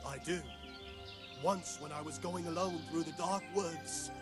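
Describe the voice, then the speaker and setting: A man's voice: on the high side, fairly even intonation, measured pace, over music, close to an everyday voice. A man playing an eager, gullible medieval villager in a game, earnest and faltering as he talks.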